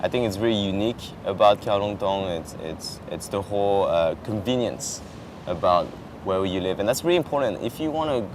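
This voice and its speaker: A man's voice: on the low side, animated, a little fast, few pauses. A young man with French as his native language, an exchange student chatting casually outdoors on a campus lawn, full of fillers and restarts. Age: young adult. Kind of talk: casual chat. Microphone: camera at a distance. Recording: outdoor traffic noise behind.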